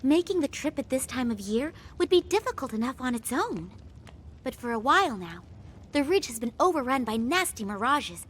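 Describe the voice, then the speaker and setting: A woman's voice: high voice, sing-song, normal speed, a little hiss or hum. A woman voicing a plucky, bossy but kind-hearted little innkeeper in a fantasy video game. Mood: exasperated, warning. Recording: faint game music behind.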